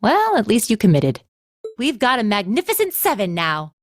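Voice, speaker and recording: Anime game role: playful female instructor, lilting high voice, teasing, dry close recording. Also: huge pitch swings, moderate pace, no echo. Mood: firm, encouraging. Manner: playful, theatrical, casual. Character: breezy, teasing young female military academy instructor. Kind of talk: English anime game dub acting.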